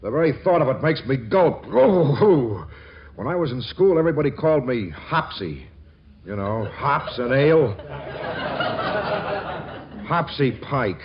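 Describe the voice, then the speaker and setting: An actor playing a naive, lovestruck rich young American bachelor in a 1940s radio comedy, stammering and halting as he talks. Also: mid-range voice, big pitch swings, slight background noise. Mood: awkward, smitten. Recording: muffled 1940s radio broadcast, music behind.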